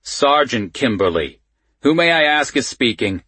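A man voicing a gruff, short-tempered police sergeant with a Baltimore accent, blunt, profane and impatient, in an audiobook. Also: medium pitch, big pitch swings, unhurried, sharp tone, no echo, voice pushed up, heavily acted. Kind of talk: audiobook character dialogue.

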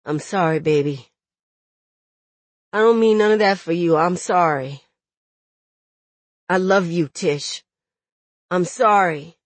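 A woman's voice: lowish voice, takes it slowly, long pauses, dry recording. A woman voicing a desperate young man in jail in an audiobook, angry and tender by turns, with urgent, streetwise phrasing. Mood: tender, apologetic. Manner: urgent, profane, vernacular phrasing. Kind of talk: dramatic audiobook character dialogue.

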